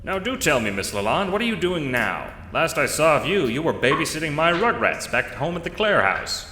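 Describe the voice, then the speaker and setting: A man's voice: a little high, sing-song, brisk, echoey room, big-room sound, background noise, voice pushed up. A man voicing a pompous old adventurer in an audio drama, blunt and condescending, full of old-fashioned slang. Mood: brash, impatient.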